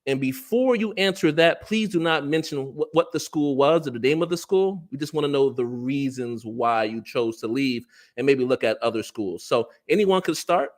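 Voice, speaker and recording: A man's voice: medium pitch, lively intonation, moderate pace, hardly any pauses. A man with an American accent from New Jersey, hosting a parent panel on a video call, friendly, with frequent laughs and fillers. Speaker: parent relations executive and panel host.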